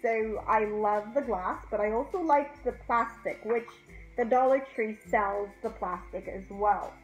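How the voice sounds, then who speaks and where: A woman's voice: fairly high pitch, unhurried. A middle-aged woman hosting a casual craft tutorial, chatting informally and directly to her viewers. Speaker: host of a craft channel. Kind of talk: casual DIY tutorial chat. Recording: roomy echo, faint music.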